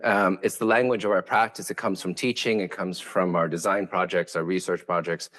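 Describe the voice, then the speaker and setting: A man's voice: low voice, steady tone, a little fast, a bit bright. A man whose first language is Vietnamese, an architect giving a lecture in a hesitant way, with many fillers and restarts. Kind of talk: academic lecture.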